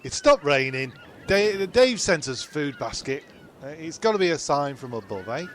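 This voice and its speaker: Man voices a halting castaway, slightly high and lively. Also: a little hiss or hum. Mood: eager. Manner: halting, stammering.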